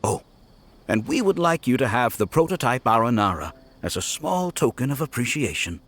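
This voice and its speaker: A friendly young shopkeeper, polite and grateful, with a bright, lively voice that lifts and falls. Crickets chirp faintly behind. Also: male voice, medium pitch, normal speed, a little hiss or hum. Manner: polite, warm-hearted, grateful.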